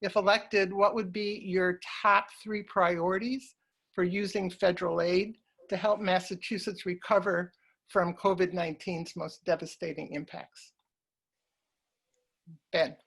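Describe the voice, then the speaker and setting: Lower-voiced woman posing a forum question. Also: fairly even intonation, measured pace, fairly bright tone, husky. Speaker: organization representative asking a question.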